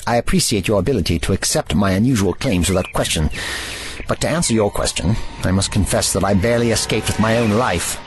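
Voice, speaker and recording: A man's voice: fairly low pitch, fairly quick, a little hiss or hum, close to an everyday voice. A man playing a grave, erudite ancient time traveller in an audio drama, with formal, slightly pompous phrasing.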